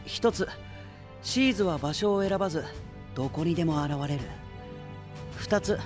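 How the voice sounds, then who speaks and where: A man playing a shrewd, poised young knight in an anime audio drama, measured and faintly theatrical, with a slight tease. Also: high-pitched.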